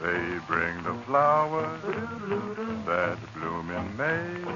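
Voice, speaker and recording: A man's voice: sits low, big pitch swings, drawn-out words. A man singing old popular songs on a vintage recording. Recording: muffled vintage recording with music.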